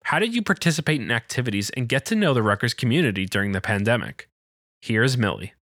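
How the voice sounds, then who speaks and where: A man hosting a podcast, reading his introductions from a script in a steady way. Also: medium pitch, fast, no room sound, studio quality. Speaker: podcast host.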